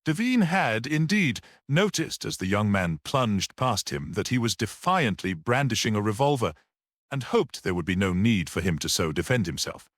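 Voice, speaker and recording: A man reading a literary story aloud as a dramatic audiobook narrator, in an expressive, measured manner. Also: fairly low pitch, no echo, clean studio sound.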